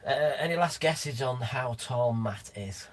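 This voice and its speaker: A man's voice: mid-range voice, animated, unhurried. West Lancashire male co-host, dry, reads the chat. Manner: reads out viewer comments, dry banter, swears casually. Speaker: live stream co-host. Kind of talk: casual live stream chat.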